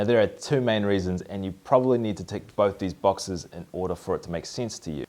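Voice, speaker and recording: A man in his thirties with a New Zealand accent talks to camera from his garage workshop. His voice sits a little low, and he explains with upbeat energy and lively hand gestures. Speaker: maker video host. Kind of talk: explanatory maker vlog. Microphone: camera at mid-distance, framed from the waist up.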